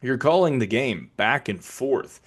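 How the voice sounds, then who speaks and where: An American man who works as a public address announcer, giving an instructive talk in a measured, deliberate way. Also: mid-range voice, animated. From Birmingham, Alabama, United States. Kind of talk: explanatory talk with announcing demonstrations.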